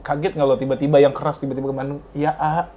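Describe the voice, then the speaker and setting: A man's voice: fairly high pitch, flat delivery, fast, warm tone, slight background noise. A man hosting a casual podcast interview, prompting with short agreeing replies and questions. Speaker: podcast host.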